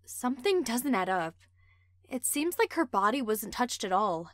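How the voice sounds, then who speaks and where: A woman's voice: a little high, sing-song, unhurried, dry recording, studio quality. A woman playing a protective, suspicious young pack member in a roleplay drama, firm and earnest.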